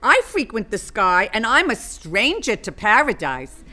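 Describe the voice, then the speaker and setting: A sardonic, ravenous female vulture in a radio comedy. Her voice is high and piercingly bright, with lively swoops and an unhurried, mocking delivery. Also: full character voice. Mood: dry, skeptical. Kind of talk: comic radio play acting.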